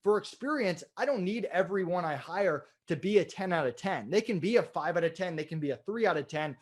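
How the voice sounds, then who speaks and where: A man's voice: high-pitched, animated, brisk. A man giving an informal, explanatory webinar as a business trainer, in a conversational way. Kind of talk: informal instructional presentation.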